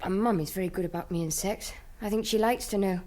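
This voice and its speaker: A woman's voice: mid-range voice, fast talker, a little mellow, lightly acted. An actress playing an eager, needy young rich woman in a radio drama, hesitant and anxious to please. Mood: confiding.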